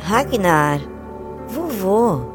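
A woman's voice: mid-range voice, big pitch swings, drawn-out words, over music. A woman playing a weak, dazed young granddaughter in a fantasy audio drama, sounding exhausted and faint.

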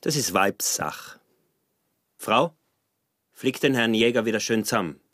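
Deep-voiced austrian peasant smuggler, sly scheming husband, pushy, old-time village radio play. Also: male voice, lively intonation, a little slow, a bit bright. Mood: slyly polite. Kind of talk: radio drama acting in dialect.

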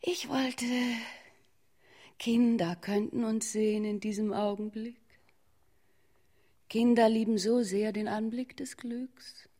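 A woman's voice: on the high side, fairly even intonation, slow pace, pauses often, dark tone. A woman playing a melodramatic, clinging mother in a German radio play, declamatory and imploring. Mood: solemn, declamatory.

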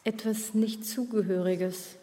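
Actress as genteel, venomous female gossip; dark soft voice, drawn-out delivery, long reverb. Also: medium pitch, steady tone, natural voice. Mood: quietly venomous. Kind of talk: radio play acting.

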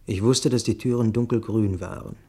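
An actor playing a grave first-person narrator in a literary radio play, speaking in a measured, deliberate way. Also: male voice, lowish voice, fairly even intonation, warm tone, slightly rough. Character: grave male witness recounting a deadly night attack.

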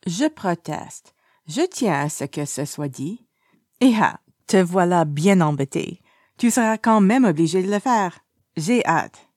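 A woman's voice: fairly low pitch, a little slow, dry recording, studio quality. Woman voicing a playful, swooping young male tease. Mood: teasing. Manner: animated, mischievous. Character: playful, teasing, nosy young man, dramatically indignant. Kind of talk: storybook character reading.

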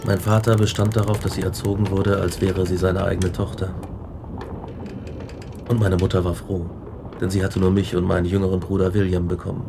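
Radio drama casting: earnest brooding scientist, low creaky voice, reflective narration. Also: male voice, noisy background.

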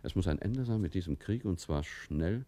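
An actor playing a jovial but self-protective mailroom clerk in a wartime radio drama, with a chatty, colloquial, cajoling manner. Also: male voice, low voice, expressive, normal speed, warm tone, dry recording. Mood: reading aloud. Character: jovial, self-protective male Nazi-era Berlin mailroom clerk, family man.

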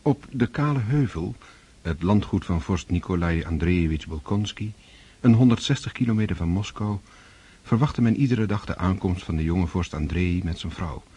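Deep, husky male narrator. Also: animated, moderate pace, dark tone. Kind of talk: scripted literary narration of a radio drama.

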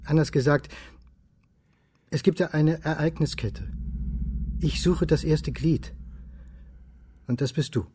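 A man's voice: a little high, slow pace, long pauses, dark tone, slight background noise. An actor playing a brooding, stubborn male historian in a radio crime drama, pressing for answers. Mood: insistent. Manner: insistent, interrogating. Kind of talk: radio crime drama acting and inner monologue.

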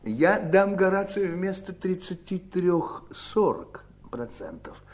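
An actor playing a wily, domineering elder brother in a Soviet radio drama, theatrical, mocking and commanding. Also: male voice, high voice, lively intonation, slow, darkish tone. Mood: firm, calculating.

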